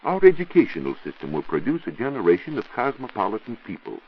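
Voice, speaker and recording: An American man giving a scripted 1940s radio science talk as a reporter, didactic and rhetorical in measured phrases. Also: fairly low pitch, wildly swooping pitch, a little hiss or hum. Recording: dull 1940s radio recording.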